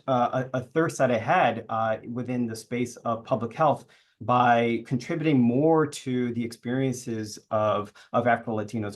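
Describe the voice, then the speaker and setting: A man from New York City speaking on a webinar panel over a video call. His pitch sits in the middle, and he speaks haltingly, pausing and restarting often. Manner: hesitant, many uh fillers and restarts. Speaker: panelist, public health professor. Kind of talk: self-introduction on a webinar panel.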